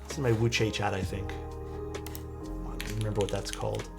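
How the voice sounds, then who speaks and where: A man's voice: lowish voice, flat delivery, brisk, vocal fry, music in the background. A young man with a Toronto Canadian accent, chatting casually with his livestream audience while soldering a keyboard. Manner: easygoing, chatty, answers chat while soldering.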